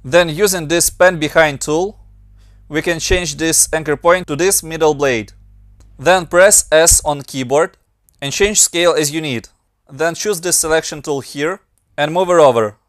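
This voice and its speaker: Ukrainian-accented male tutor, a bit higher voice, very dry close mic, patient step-by-step walkthrough. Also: a little slow, a bit bright, dry recording. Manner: plain, step-by-step instructions with slightly non-native grammar. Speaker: motion designer and tutorial maker. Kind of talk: screen-recorded software tutorial.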